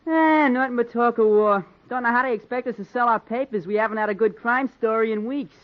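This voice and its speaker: An actor playing an eager, chatty young newsboy selling papers in a 1940s radio drama. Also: male voice, extremely high pitch, a little fast. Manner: street-vendor hawking, folksy. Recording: muffled, hissy 1940s radio recording.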